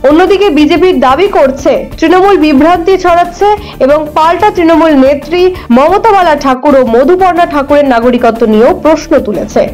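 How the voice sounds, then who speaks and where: A woman's voice: high-pitched, brisk, darkish tone, faint music behind, poor audio. A woman reading a scripted news report as a presenter.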